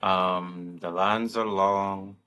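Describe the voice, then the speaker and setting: Deep-voiced American man, halting. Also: fairly even intonation, pushed and bright, clean tone. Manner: halting, many ums and restarts. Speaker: co-host of a travel channel. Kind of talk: casual chat.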